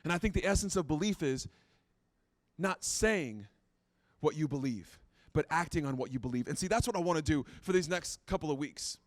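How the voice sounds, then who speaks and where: Pastor, late 30s, high clear tenor, dry close mic, chatty and self-deprecating. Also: male voice, lively intonation, moderate pace, dry recording. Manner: conversational, self-deprecating, repeats phrases. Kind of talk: sermon.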